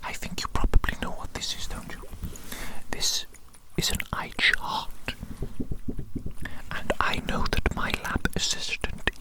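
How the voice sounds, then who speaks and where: Someone acting out an evil mad scientist doctor, ASMR roleplay, breathy whisper, playful, theatrical, doting, soothing repetition. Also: measured pace, pauses often, close to an everyday voice, low-quality recording. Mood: chatty, coaxing.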